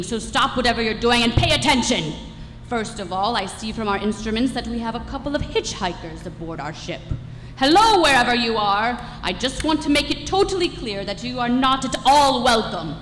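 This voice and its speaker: A woman's voice: a little high, lively intonation, normal speed, a bit bright, slight background noise. A woman playing an officious, bitter alien spaceship captain in a live radio-style comedy, making curt, self-important announcements. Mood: officious, hostile. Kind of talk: radio comedy stage play. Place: live stage performance in a hall. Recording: echoey hall, music behind.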